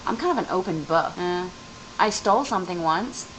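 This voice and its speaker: A woman's voice: fairly low pitch, lively intonation, moderate pace, fairly bright tone, slight background noise, low-quality recording. A young woman vlogging at home, rambling casually to her camera about packing and personal things. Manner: chatty, rambling, loose and informal. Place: home room among moving boxes.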